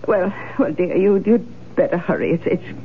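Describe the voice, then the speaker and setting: An actress playing a fussy, doting older woman in a radio drama, gently chiding with fluttery hesitations. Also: fairly low pitch, normal speed, lightly acted. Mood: gentle chiding. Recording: tinny, hissy old radio drama.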